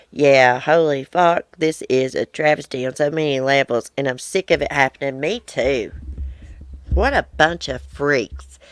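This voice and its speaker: A woman's voice: low voice, no room sound. A woman hosting a casual live chat from her porch, reading viewer comments in a blunt, rambling way, sounding wheezy. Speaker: livestream host. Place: outdoor porch.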